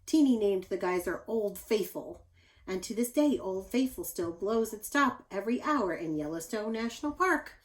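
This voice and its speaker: A woman reads a picture book aloud to children in a mid-pitched voice. Her delivery is expressive and teacherly, and she speaks directly to her young listeners. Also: normal speed. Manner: animated, addresses young listeners with asides.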